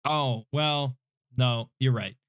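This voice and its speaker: A man's voice: mid-range voice, slow pace, a bit bright, smooth, no room sound. A man of thirty answering viewer questions in a casual, hesitant, self-deprecating chat, with a relaxing voice on a slightly muffled desk mic. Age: 30s. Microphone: desk microphone without his usual equalizer, slightly muffled.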